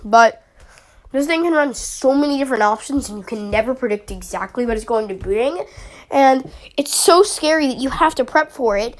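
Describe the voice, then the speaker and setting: Young woman, slightly high voice, sniffly from a cold, casual rambling commentary, lots of fillers. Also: expressive, normal speed, poor audio. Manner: chatty, rambling, with many fillers. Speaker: YouTuber analyzing a draft league team.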